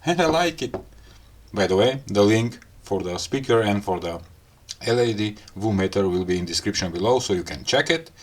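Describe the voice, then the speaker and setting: A man with a non-native English accent giving a casual hands-on gadget demo in short, halting phrases. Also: lowish voice, lively intonation. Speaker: gadget review host. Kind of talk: casual hands-on unboxing and product demo talk.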